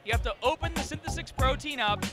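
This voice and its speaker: A young man hosts a contest with an upbeat, loud delivery, his voice high for a man. He holds a handheld mic in a crowded convention hall. Loud music plays behind him throughout. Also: quick pace. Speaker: host. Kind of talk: energetic event hosting.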